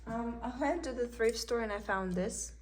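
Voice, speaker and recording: A woman's voice: on the high side, normal speed, a little hiss or hum. Chatty woman with a Russian accent. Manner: chatty, meandering, thinks aloud. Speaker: cross-stitch vlogger. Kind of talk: casual show-and-tell haul. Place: indoors at a table.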